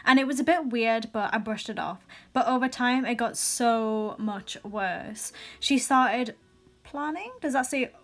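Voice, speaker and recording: A young woman reading viewer stories aloud in a casual vlog, with sassy asides and quick restarts. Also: on the high side. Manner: chatty, sassy asides, frequent laughs and restarts. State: recently sick.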